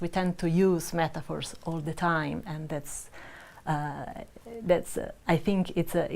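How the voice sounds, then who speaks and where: A woman's voice: lowish voice, vocal fry. A woman with a strong Italian accent, introducing herself and her research as a guest on a studio panel. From Italy. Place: studio with balloons.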